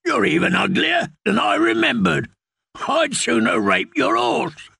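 A man's voice: extremely high pitch, sing-song, a little slow, creaky, bright, forceful tone, husky, no room sound, clean studio sound, pitched above the performer's own voice, full character voice. A man voicing a brutal, cursing male outlaw in a dog helm, bellowing threats. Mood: menacing.

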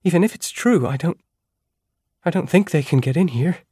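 Man doing a nervous hesitant young woman, voice pushed up higher, dry close mic. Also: expressive, warm tone, slightly rough, no room sound. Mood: uneasy. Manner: hesitant, halting.

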